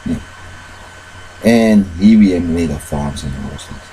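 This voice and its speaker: A man with a deep, dark voice tells a winding story at his own pace, halting often on fillers and loose phrasing. He keeps the tale going by slipping into the voices of the people in it. A faint hum sits behind him. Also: expressive, poor audio. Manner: retells events with shifts into quoted dialogue. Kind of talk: rambling personal storytelling.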